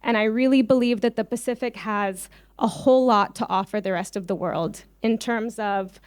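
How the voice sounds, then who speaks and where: A woman's voice: medium pitch, moderate pace, clean tone, no echo, clean studio sound. A woman from Israel giving an informal conference presentation, speaking conversationally with frequent ums and personal asides. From Israel, early childhood on a kibbutz. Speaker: farmer cooperative leader, invited conference speaker.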